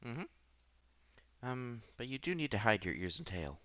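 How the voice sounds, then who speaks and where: A man's voice: medium pitch, very animated, normal speed, a bit bright, no echo, highs cut off. A man hosting a casual read-aloud playthrough of a visual novel, reading narration and dialogue with occasional stumbles and side comments. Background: background music.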